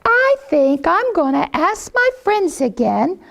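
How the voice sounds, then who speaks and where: A woman's voice: very high-pitched, very animated, takes it slowly, gravelly, fairly bright tone, pitched above the performer's own voice, heavily acted. A woman voicing a kind, hardworking mother hen in a children's story, with a sweet, coaxing manner. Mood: hopeful.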